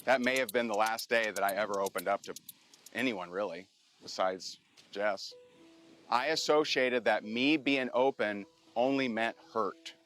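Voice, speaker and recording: A man's voice: lowish voice, unhurried, a bit bright. A man hosting a competition show, telling a personal story to the camera in an earnest, motivational way. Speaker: show host and business coach. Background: music bed.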